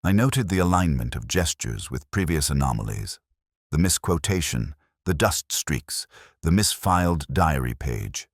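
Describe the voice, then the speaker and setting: A contemplative detective inspector played by a man recounts his case in a very low voice, recorded dry and close. His delivery is formal and measured, with lively rises and falls, in the manner of a literary mystery story. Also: no room sound, full character voice. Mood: hushed, watchful. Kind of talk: scripted first-person detective story narration.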